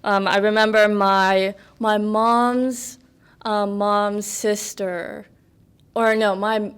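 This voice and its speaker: A woman's voice: mid-range voice, level tone, very slow, clear, resonant tone. A woman in her twenties from the United States, a podcast guest chatting casually, rambling with many fillers and laughs. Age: 20s. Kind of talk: casual interview chat.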